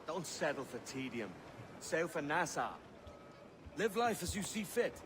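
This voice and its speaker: An actor playing a bold, free-spirited pirate who talks in a confident, wry way, in a game cutscene. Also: male voice, fairly high pitch, sing-song, a little slow, background noise. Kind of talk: video game cutscene acting.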